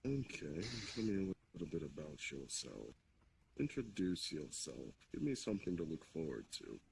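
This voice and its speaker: Over noisy voice-chat audio, a man acts out a stately Demon King with a low voice. He is courteous but commanding, choosing his words with halting formality as in improvised online roleplay. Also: big pitch swings, creaky, darkish tone, exaggerated voice. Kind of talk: improvised virtual-reality roleplay.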